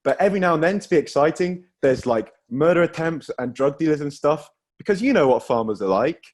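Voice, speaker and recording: A man's voice: on the high side, lively intonation, fairly quick, darkish tone. A young man from Wales performing a self-deprecating stand-up set over a video call, often laughing through his own jokes. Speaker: stand-up comedian.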